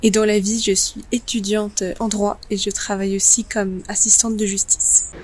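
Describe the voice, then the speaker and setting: A woman in her twenties introducing herself in an even, level way. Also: medium pitch, normal speed, slight background noise. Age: 20s. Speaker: law student. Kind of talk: prepared self-introduction.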